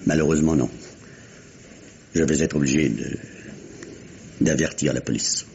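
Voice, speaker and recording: A grave family doctor, speaks regretfully in vintage radio style. The voice is extremely low, creaky and gravelly. The old recording is muffled. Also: male voice, fairly even intonation, a little slow, dark tone, slight background noise, full character voice. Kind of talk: 1950s French radio mystery drama acting.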